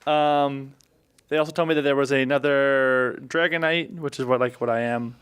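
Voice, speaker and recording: A man with a very clean, smooth voice gives a casual recap of a tabletop game adventure. He speaks haltingly, feeling around for details as he goes, like a player on a podcast. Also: medium pitch, fairly even intonation, fairly bright tone. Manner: halting, searching for memory.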